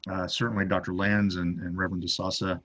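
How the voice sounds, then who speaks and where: A man moderating an online panel discussion in a halting, tentative and courteous manner, over video call audio. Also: sits low, flat delivery, a bit bright. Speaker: session moderator.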